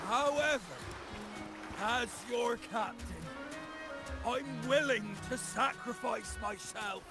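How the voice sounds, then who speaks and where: An actor playing an excitable, cowardly, blustering pirate in a video game, with exaggerated pompous delivery. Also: male voice, very high-pitched, slow pace, exaggerated voice. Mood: grandiose. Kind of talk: video game character dialogue. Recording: loud music behind the voice. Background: loud game music.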